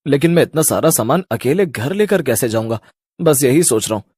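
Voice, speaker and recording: A man's voice: mid-range voice, expressive, fairly quick, darkish tone, husky, no room sound, clean studio sound. A man playing an anxious, bewildered husband and father in a cartoon horror story, with exclamatory delivery. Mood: puzzled. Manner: exclamatory, worried, puzzled.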